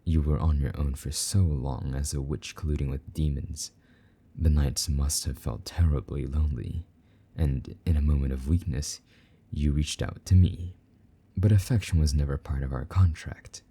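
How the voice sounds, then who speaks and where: A man's voice: extremely low pitch, fairly even intonation, normal speed, dark tone, breathy edge, heavily acted. A man playing a possessive, teasing demon lover in an intimate roleplay monologue, taunting and doting by turns. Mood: smug, possessive.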